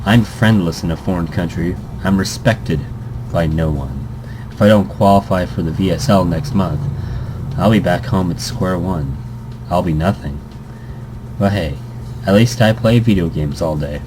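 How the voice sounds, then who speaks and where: Male let's play host, low voice, reads the story aloud and stumbles over words, loud air conditioning hum. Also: moderate pace. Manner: stumbles and restarts words, chuckling asides. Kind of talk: reading a visual novel aloud with commentary.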